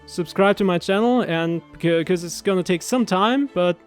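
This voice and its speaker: High, clear-voiced man chatting casually. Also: moderate pace, faint music behind. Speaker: video host. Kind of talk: casual language commentary.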